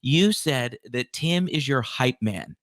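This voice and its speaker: A man's voice: mid-range voice, animated, slow, a bit bright, dry recording, clean studio sound. A man hosting a podcast, asking wordy, affirming interview questions in an enthusiastic conversational manner.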